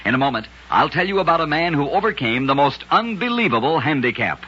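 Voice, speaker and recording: Bright, raspy old-time radio storyteller man. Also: on the high side, sing-song, fast. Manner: dramatic, emphatic storytelling. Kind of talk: scripted old-time radio narration of oddities. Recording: dull lo-fi vintage radio recording.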